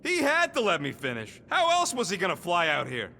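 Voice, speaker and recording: A man's voice: sits high, huge pitch swings, a little fast, vocal fry, bright, forceful tone, a little hiss or hum, full character voice. A man voicing a cranky, wisecracking small furry creature mechanic in a video game, with sarcastic street-tough speech. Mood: grumbling.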